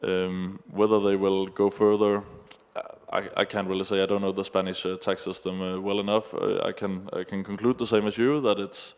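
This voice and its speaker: A man answering off the cuff as an expert panelist at a conference, halting and measured as he weighs his words. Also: low-pitched, level tone, smooth. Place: large indoor conference hall. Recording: echoey hall, long reverb.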